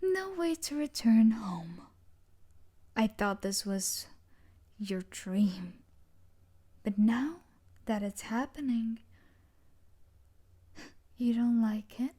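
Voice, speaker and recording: Woman acting as a creepy obsessed yandere girl, slow n soft, kinda high voice, breathy coaxing menace, whispers a lot, roleplay audio. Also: lively intonation, heavily acted. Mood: mocking, menacing. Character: obsessive yandere stalker woman, a dark romance book character come to life. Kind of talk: intimate roleplay audio drama.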